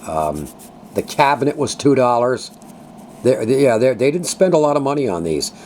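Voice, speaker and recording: A man's voice: medium pitch, normal speed, slight background noise. An older man, a longtime radio collector, talking casually with many hesitations as he shows an antique radio at home. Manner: relaxed, folksy, many uhs. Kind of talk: casual show-and-tell.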